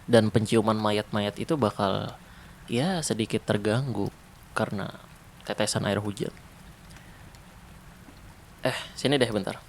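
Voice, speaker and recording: A man voicing a protective ex-special-forces survivor in a zombie apocalypse, talking softly and calmly in an ASMR roleplay. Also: on the low side, gravelly, a little hiss or hum. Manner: soft, calm, unhurried, intimate. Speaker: roleplay voice actor.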